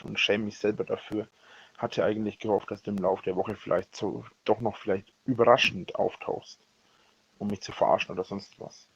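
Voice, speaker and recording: A man speaking a rambling personal voicemail, halting and often correcting himself, with a husky voice. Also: fairly low pitch, level tone. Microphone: voice message recorded on a phone. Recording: dull lo-fi leaked voicemail recording.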